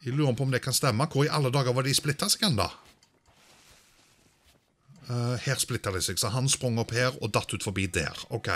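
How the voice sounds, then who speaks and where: A man's voice: mid-range voice, pauses often, a bit bright. A man doing casual let's-play commentary, thinking aloud and sprinkling in English exclamations. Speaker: gaming video host.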